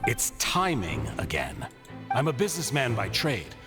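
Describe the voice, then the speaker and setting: A man's voice: mid-range voice, huge pitch swings, unhurried. An actor voicing an avuncular, condescending businessman villain in a video game, patronizing and theatrical in his delivery. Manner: patronizing, smooth-talking, theatrical. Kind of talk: dramatic video game voice acting. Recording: long hall reverb, faint game music.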